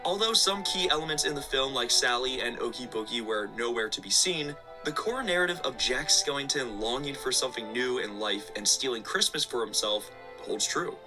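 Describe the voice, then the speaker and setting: A man's voice: medium pitch, animated, moderate pace, hardly any pauses, low-quality recording. A man narrating a scripted video essay with casual asides. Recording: background music under the voice. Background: music.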